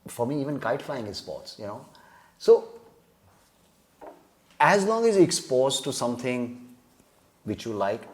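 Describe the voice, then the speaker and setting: A man's voice: mid-range voice, big pitch swings, a little slow, pauses often, a little mellow. Jokey man, rambling laughs. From India. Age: 30s. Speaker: podcast guest. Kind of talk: casual chat.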